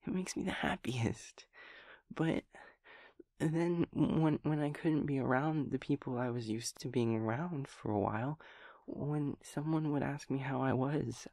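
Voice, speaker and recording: A man playing a gentle, naive, scatterbrained young daemon friend in an audio roleplay, speaking in hesitant, childlike rambles. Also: mid-range voice, vocal fry, dry recording, studio quality. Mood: tender, happy. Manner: hesitant, stammering, rambling, childlike, softly giggling.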